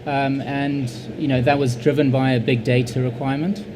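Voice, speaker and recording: A man's voice: mid-range voice, little pitch movement, noisy background. Hesitant man speaking on a panel. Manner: measured, hesitant, many fillers and repeated words. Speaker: panelist, insurance IT leader. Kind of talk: panel discussion answer.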